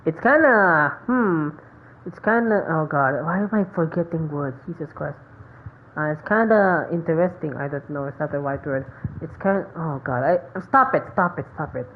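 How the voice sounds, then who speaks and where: A woman giving casual game playthrough commentary, halting and muttering as she searches for words. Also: deep voice. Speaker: game streamer. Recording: dull lo-fi mic, faint background noise.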